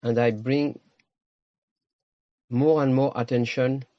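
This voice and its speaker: Low-voiced man guiding a meditation. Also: steady tone, no echo, dull audio. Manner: slow, pausing, short phrases. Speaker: meditation teacher. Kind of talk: guided meditation.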